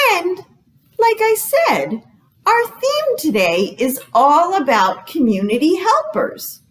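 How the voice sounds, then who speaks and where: A woman hosting a children's storytime as a librarian, speaking with animated, exaggerated intonation and putting questions to young listeners. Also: high voice.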